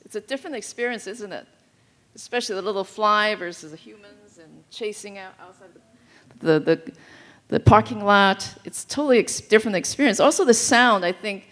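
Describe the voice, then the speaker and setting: A woman's voice: medium pitch, a bit bright. A woman hosting a festival event, chatty and stumbling as she introduces the films. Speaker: event host. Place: theater.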